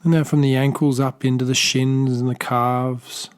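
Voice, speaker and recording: A man with an Australian accent gently guiding a body scan meditation, speaking softly and slowly with pauses and small restarts. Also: medium pitch, flat delivery, smooth. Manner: slow, soft-spoken, gentle guidance with pauses. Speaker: meditation teacher.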